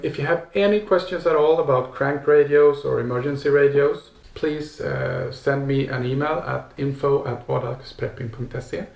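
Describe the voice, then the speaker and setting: Male video host, gravelly creak, casual hesitant explainer delivery, echoey room. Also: mid-range voice. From Sweden. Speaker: video host and gear reviewer. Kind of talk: casual product explainer to camera.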